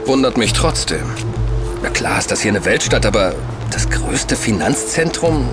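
A man's voice: medium pitch, sing-song, normal speed, music in the background, close to an everyday voice. A man playing a curious, questioning young man. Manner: asks questions, doubtful.

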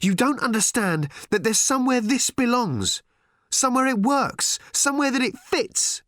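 Storybook role: male reader as angry, wounded teenage daughter, shrill and accusing, very high pitched, dry recording. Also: big pitch swings, moderate pace, fairly bright tone, no room sound, exaggerated voice. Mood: angry, demanding. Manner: shrieking, repetitive, accusing. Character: furious, anguished teenage girl, shouting at her father.

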